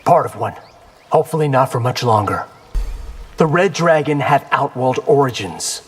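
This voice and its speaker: A man's voice: mid-range voice, expressive, normal speed, husky, soft background music. A man playing a young ninja warrior in a fighting game, wry, cocky and casual. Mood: wry, determined. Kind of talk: fighting game character acting.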